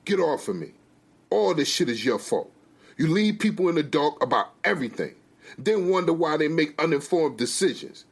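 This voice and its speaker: A man voicing an angry, fed-up wife and mother with a sharp tongue and a scolding, emphatic delivery. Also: mid-range voice, very animated, unhurried.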